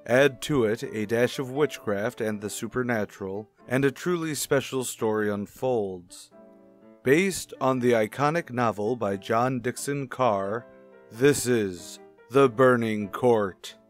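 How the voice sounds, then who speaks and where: A man hosting in old-time radio style, introducing a mystery in a formal, portentous manner over soft music. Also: mid-range voice, slow pace. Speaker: host, announcer.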